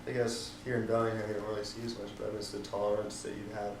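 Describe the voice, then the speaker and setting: A young man from the Netherlands answering interview questions casually, pausing often with ums and likes. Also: on the low side, monotone, reverberant, distant mic, background noise. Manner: hesitant, many ums and likes.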